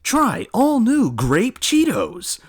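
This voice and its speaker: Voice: mid-range voice, huge pitch swings, takes it slowly, creaky, husky, heavily acted. A performer voicing an automated security robot that makes stiff, repetitive announcements in an amateur audio drama. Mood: salesy announcement. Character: monotone automated security robot blaring warnings and ads.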